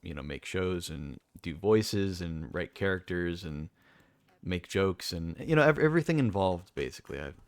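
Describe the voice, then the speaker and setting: A young man with a deep voice, talking casually and rambling with lots of fillers and restarts as a streamer. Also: animated, normal speed, clean tone, no echo. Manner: rambling, self-correcting, many fillers.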